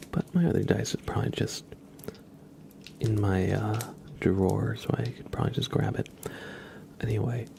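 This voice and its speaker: A man hosting an ASMR livestream, chatting softly and breathily to viewers, unhurried and often repeating words. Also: lowish voice, noisy background. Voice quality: whispered, breathy soft voice. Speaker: livestream host.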